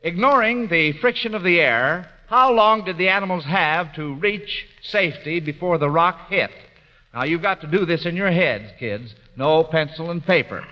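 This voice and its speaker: High, bright-voiced man hosting old radio quiz. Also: expressive, moderate pace. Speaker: quiz show host. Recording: muffled old radio, hall echo, noisy audience. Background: studio audience noise.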